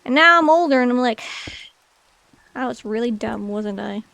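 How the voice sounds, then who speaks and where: Chatty young woman streamer, slightly high voice. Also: expressive, a little slow, vocal fry, a bit bright, no room sound. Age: 20s. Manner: chatty, rambling, many "like" fillers. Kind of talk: casual chat with chat while gaming.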